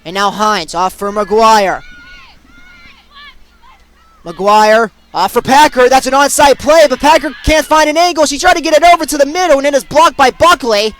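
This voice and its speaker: A young man calling live sports play-by-play in short, punchy, excitable phrases. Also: very high voice, normal speed. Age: young adult. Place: at sports venues, live during games.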